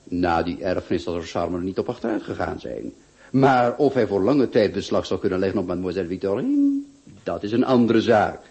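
An actor playing a worldly, teasing aristocrat in a radio play, suave and given to drawn-out emphasis. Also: male voice, low voice, very animated, darkish tone, breathy edge, heavily acted. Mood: gossipy, teasing. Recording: dull lo-fi old radio recording.

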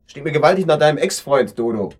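An irritable man who feels set up and bristles with sarcasm. His husky voice swings widely in pitch as he blusters. The style is dramatic German radio play dialogue. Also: lively intonation, quick pace, a little mellow. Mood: agitated, indignant. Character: irritable, defensive, sarcastic brother feeling set up.

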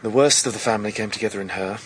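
A man's voice: fairly low pitch, rapid-fire, slight background noise, lightly acted, poor audio. An actor playing a brooding, resolute young Englishman in a radio drama, with a grave, clipped, intimate delivery. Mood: quietly resolute. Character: brooding young man, resolute, newly hardened.

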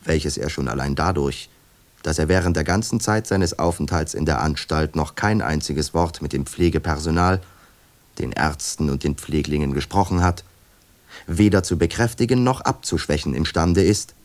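A man's voice: rumbling low, moderate pace. A man narrating a radio play in a sober, detached manner with long winding sentences.

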